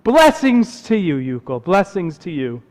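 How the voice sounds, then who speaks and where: Man as a hearty high-voiced village rabbi. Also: very animated, a little mellow. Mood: hearty, kindly. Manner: calls out in drawn-out greetings. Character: kindly village rabbi, hearty and friendly greeter. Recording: long hall reverb.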